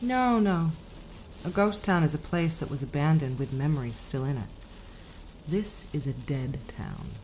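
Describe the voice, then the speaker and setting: Mysterious otherworldly woman drifter, deep female voice, grave, measured and ominous, for audio drama. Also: lively intonation, darkish tone, a little hiss or hum, rough recording. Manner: measured, cryptic, grave storytelling. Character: enigmatic woman hitchhiker, calm, knowing, ominous otherworldly collector.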